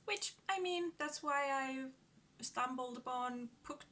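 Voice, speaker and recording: Slow, nervous Finnish woman vlogging. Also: high-pitched, pushed and bright. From Finland. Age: 30s. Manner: nervous, giggly, hesitant with many fillers. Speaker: book vlogger. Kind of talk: casual vlog talk to camera. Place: at home in front of a bookcase. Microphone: phone camera.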